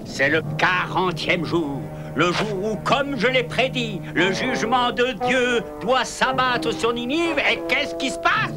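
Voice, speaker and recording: High-pitched, wrathful prophet of doom. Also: male voice, animated, takes it slowly, few pauses, full character voice. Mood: bitter, exasperated. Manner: declamatory, thundering proclamations. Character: wrathful, indignant prophet preaching doom to a sinful city. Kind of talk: dubbed animated Bible story acting. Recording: loud music under the voice.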